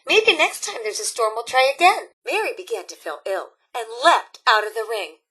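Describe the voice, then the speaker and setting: A woman's voice: on the high side, big pitch swings, normal speed, bright, forceful tone, breathy edge, full character voice. A woman voicing a cheerful, motherly robot scout leader in a video game, theatrical and folksy as a campfire storyteller. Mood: spooky, suspenseful.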